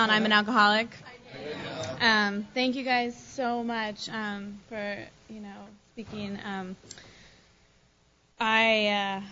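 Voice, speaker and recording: Candid American woman, thirties, bright tone, rambling self-deprecating share, muffled lo-fi sound. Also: mid-range voice. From United States. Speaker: recovery meeting speaker. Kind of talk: personal recovery share. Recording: dull lo-fi recording.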